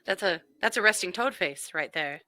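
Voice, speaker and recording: A woman's voice: lowish voice, animated, normal speed, bright, forceful tone, no echo, studio quality. A woman hosting a show with casual, wry and mocking true crime commentary. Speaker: host.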